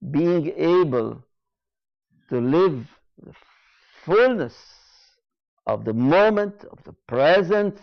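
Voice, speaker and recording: A man giving a reflective spoken lecture, slow and deliberate, repeating key phrases with frequent hesitations. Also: fairly high pitch, creaky, highs cut off. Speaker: speaker giving a talk.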